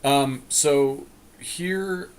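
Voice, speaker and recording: A man's voice: mid-range voice, drawn-out words. A man co-hosting a podcast, casually thinking aloud as he comments on a card game draft. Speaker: podcast co-host. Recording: clearly noisy background.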